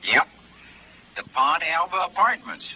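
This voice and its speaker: An actor playing a folksy, chatty Southern local informant in a 1950s radio drama, drawling and unhurried. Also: male voice, fairly high pitch, big pitch swings, slightly rough, full character voice. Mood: gossipy, helpful. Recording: muffled, faintly noisy vintage radio recording.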